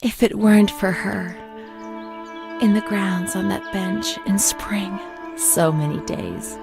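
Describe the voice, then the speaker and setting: A woman playing a fragile, haunted young former asylum patient in a narrative game, delivering a slow, halting, confessional monologue in a breathy voice that often sinks to a whisper. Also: mid-range voice. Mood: wistful, intimate. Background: music.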